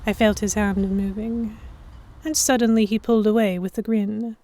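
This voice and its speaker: A woman playing a stubborn, flustered young noblewoman, narrating her own story in a measured, expressive audio drama style. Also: medium pitch, close to an everyday voice. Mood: tense, wistful narration.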